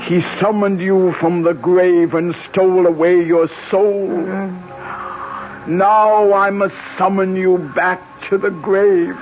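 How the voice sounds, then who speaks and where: An actress playing a vengeful older voodoo priestess in a classic radio drama, speaking slowly in solemn, incantatory tones. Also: female voice, on the low side, level tone, slight background noise. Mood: solemn invocation. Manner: slow, incantatory, portentous. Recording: dull lo-fi radio recording.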